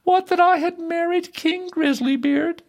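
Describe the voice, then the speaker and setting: Spoiled, spiteful storybook princess, very high-pitched, theatrical, given to mocking and whining. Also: expressive, unhurried, vocal fry, exaggerated voice. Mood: wailing, regretful. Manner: exaggerated, theatrical exclamations.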